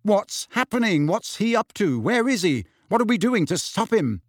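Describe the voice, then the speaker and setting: A man voicing an angry teenage boy wizard who shouts bitter, sarcastic questions. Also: sits high, expressive, normal speed, dry recording, clean studio sound, pitched above the performer's own voice. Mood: demanding.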